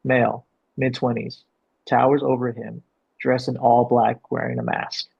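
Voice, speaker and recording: Gravelly, husky man directing a read. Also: medium pitch, little pitch movement, a little slow. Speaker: director reading stage directions. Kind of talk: explanatory coaching and script narration. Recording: dull, lo-fi sound.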